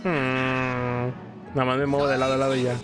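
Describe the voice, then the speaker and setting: A man's voice: mid-range voice, monotone, moderate pace, music in the background. A man with a Mexican accent telling a story in casual, colloquial style while commenting as a gameplay host. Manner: colloquial, acts out quotes in passing.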